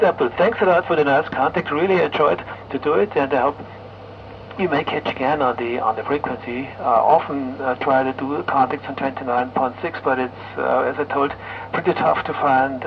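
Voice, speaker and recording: A middle-aged man with a German accent, chatting haltingly as an amateur radio operator over a shortwave transmission, searching for words. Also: medium pitch, gravelly. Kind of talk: casual ham radio contact chat. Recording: muffled noisy ham radio signal.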